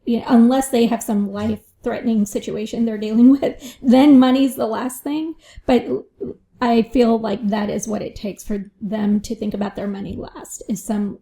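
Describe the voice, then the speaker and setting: A woman's voice: a little high, flat delivery, moderate pace, vocal fry, mellow, poor audio. A woman financial advisor answering interview questions in a reflective way, often adding "you know" and "right?".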